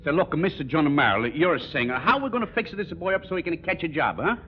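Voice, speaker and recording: Man playing an excitable high-pitched Italian immigrant. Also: fast, close to an everyday voice. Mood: flustered, eager. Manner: effusive, muddled broken English and malapropisms. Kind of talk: 1940s radio comedy acting. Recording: dull old radio recording, faint noise.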